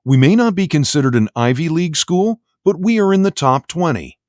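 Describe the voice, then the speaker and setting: A man acting a condescending university interviewer, swooping pitch. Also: lively intonation, darkish tone, dry recording, studio quality, close to an everyday voice. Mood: pompous, appraising. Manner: formal, condescending, deliberate. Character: pompous, prejudiced middle-aged male university job interviewer. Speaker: university official interviewing a job applicant. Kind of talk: audio play acting.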